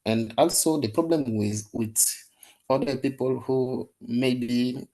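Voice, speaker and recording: A man's voice: medium pitch, steady tone, slow pace, dark tone, poor audio. Hesitant Congolese man. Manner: hesitant, searching for words. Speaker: panelist.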